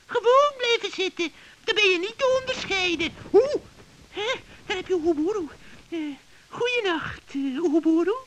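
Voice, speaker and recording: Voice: expressive, normal speed, fairly bright tone, slight background noise, rough recording. A performer voicing a sly, cackling old witch who teaches magic in a children's radio play, theatrical and instructive. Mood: coy, feigned innocence. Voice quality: creaky, shrill cackle.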